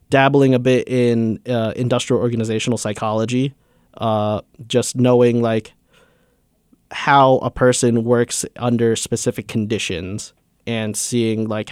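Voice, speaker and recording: A man's voice: mid-range voice, fairly even intonation, unhurried, darkish tone, clear, resonant tone, no room sound, studio quality. A young man, a student, answering interview questions in a casual, earnest way with many fillers. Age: young adult. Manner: earnest, filler-heavy with many likes and uhs. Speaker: student guest.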